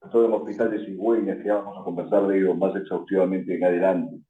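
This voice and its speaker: A man's voice: deep voice, little pitch movement, fast talker. A man hosting a radio interview, asking long, winding questions. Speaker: host. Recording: tinny phone-like line in an echoey room.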